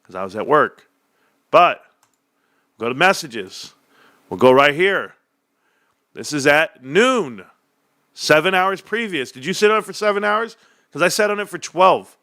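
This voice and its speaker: A man's voice: on the high side, sharp tone, no echo, studio quality. A young man hosting a stock commentary stream, ranting in a combative, sarcastic way as he reads out messages and posts. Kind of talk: heated casual rant.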